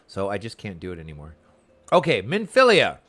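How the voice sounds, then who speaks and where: A man's voice: on the low side, huge pitch swings, brisk, fairly bright tone. Chatty man livestreaming a game. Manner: chatty, reacts aloud to the game and viewers. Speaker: livestreamer playing an online game. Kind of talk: casual livestream chat and commentary.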